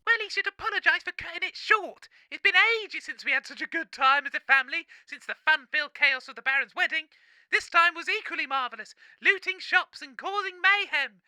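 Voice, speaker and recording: Woman playing a bright, blithe mum calling in. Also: very high voice, a little fast, no echo, heavily acted. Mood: blithely pleased. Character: cheerful, unrepentant mother phoning a radio show. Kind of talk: scripted audio comedy acting.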